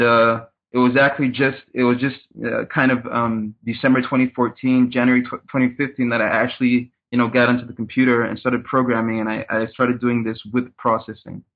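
Flat-voiced Cameroonian man over phone line. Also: medium pitch. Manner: measured, repeats words while thinking. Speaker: guest, startup consultant. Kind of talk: casual interview.

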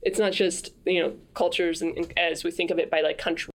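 Woman from France, thoughtful and halting. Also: lowish voice, level tone. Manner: thoughtful, many fillers and restarts. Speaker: podcast guest. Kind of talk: casual conversational interview.

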